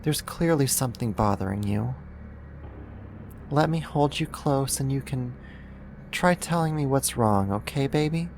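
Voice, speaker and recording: Performer as obsessive yandere captor for ASMR roleplay: mid-pitched, slow, doting yet menacing, some noise behind the voice. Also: lively intonation. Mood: soothing. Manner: soft coaxing, doting, deliberate with pauses. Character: possessive, syrupy yandere neighbor turned kidnapper, coaxing and menacing. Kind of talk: ASMR roleplay, intimate scripted monologue.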